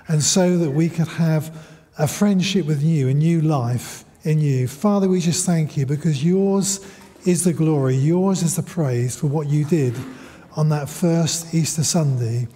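A man's voice: fairly high pitch, measured pace, warm tone, in a large hall. A man leading a spoken prayer in a church, earnest and reverent, at a lectern mic. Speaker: prayer leader. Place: large church hall.

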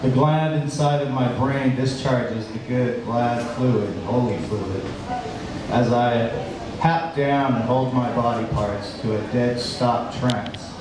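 A middle-aged man reciting poetry live, with deliberate, dramatic phrasing broken into short bursts. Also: medium pitch, steady tone, distant mic. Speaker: spoken-word poet. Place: small indoor stage at a live venue. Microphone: handheld microphone through PA, filmed from audience. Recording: echoey live venue PA with noise.

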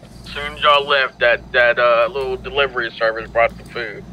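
Sharp, forceful man giving directions. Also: medium pitch, fairly even intonation, normal speed. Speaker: group leader in online roleplay game. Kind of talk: casual in-game roleplay chat. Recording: dull lo-fi headset audio, faint noise.

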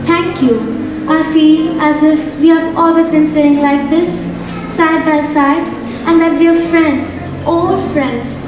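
A woman's voice: sits high, fairly even intonation, takes it slowly, background noise. A young woman playing a weary, trusting artist on stage. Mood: weary, grateful. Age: young adult. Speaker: student actor in a stage play. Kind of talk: amateur stage acting. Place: large theatre stage. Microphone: far camera in the hall. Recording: echoey hall from far off, music behind.

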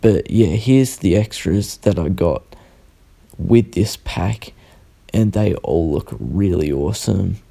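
A young man, sounding croaky and tired, rambling through a casual unboxing voice-over at home and correcting himself as he goes. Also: low voice, animated, warm tone. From Australia. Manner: rambling, self-correcting, unhurried. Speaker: sticker artist vlogger.

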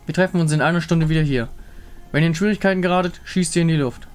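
A man voicing a firm female squad leader in a game story, brisk and authoritative. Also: on the high side, faint music behind, lightly acted. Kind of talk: fan voice-over of a game story.

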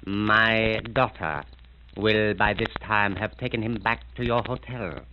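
An actor playing a cold, scheming master criminal who speaks with slow, measured politeness, on an old radio transcription. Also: male voice, on the low side, close to an everyday voice. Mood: coldly polite. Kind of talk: 1930s radio acting. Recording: narrow-band, hissy 1930s radio transcription.

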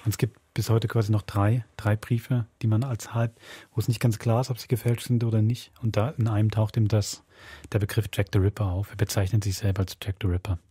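A man's voice: on the low side, flat delivery, brisk, warm tone, breathy edge, dry recording. A man hosting a podcast, explaining things in a casual, conversational way. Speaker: podcast host. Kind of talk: casual explanatory chat about a radio play.

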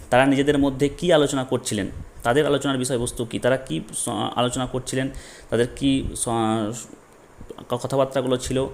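A man speaks as an educational presenter in a mid-pitched voice. He explains step by step with emphasis, often restating points and dropping in English technical terms. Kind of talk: explanatory lesson for online viewers.

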